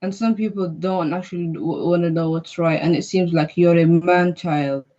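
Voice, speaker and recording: A man's voice: a little high, fairly even intonation, normal speed, warm tone. A man calling in to a heated debate, firm and insistent, repeatedly pushing the other side to read. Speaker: caller. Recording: dull lo-fi call audio.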